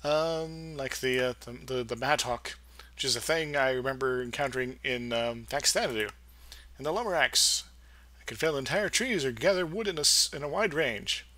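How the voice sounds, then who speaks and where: A young man talks through his game session in a loose, rambling way, joking around and fumbling for words as he goes. His voice is bright and forward at an ordinary pitch. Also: normal speed, no echo. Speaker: let's play host. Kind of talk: casual gameplay commentary.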